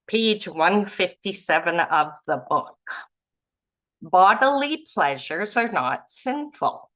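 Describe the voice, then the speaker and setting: A woman from Canada leading a spiritual study group, reading passages aloud and musing on them gently. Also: low voice, rough recording. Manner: gentle, musing, softly laughing at herself.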